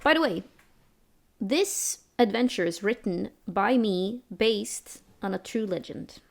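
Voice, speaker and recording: A woman's voice: medium pitch, animated, slow pace, vocal fry. A young woman from Sweden running a tabletop role-playing game as game master, chatting casually with frequent laughs and restarts.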